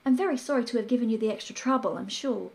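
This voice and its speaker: A woman's voice: fairly high pitch, fast, vocal fry, a little mellow. Lady reading a fussy prim professor guy, precise and a bit pompous. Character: prim fussy male professor, rational skeptic.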